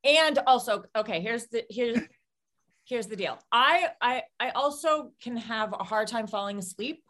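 A woman's voice: fairly high pitch, animated, a little slow, fairly bright tone. A woman who is a podcast guest, overtired and wry, talking casually. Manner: wry, self-deprecating. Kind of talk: casual chat.

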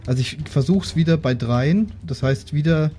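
A man's voice: a little high, normal speed, warm tone, breathy edge. A man chatting casually as a player in a tabletop role-playing game. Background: background music.

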